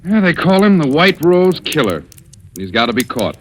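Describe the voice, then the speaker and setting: A man's voice: on the high side, very animated, normal speed. An actor playing a glum, self-deprecating male homicide detective in a 1940s radio drama, with hard-boiled slangy talk. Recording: noisy old radio recording.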